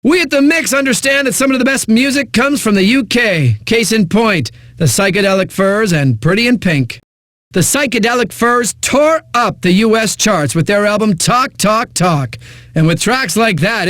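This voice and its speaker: An actor playing a cocky, flippant eighties-hits radio DJ in a video game, with smug, punchy announcing and dramatic pauses. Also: male voice, sits high, animated, a bit bright, no echo, studio quality. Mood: hyped. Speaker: radio DJ, host. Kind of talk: 1980s music radio DJ patter.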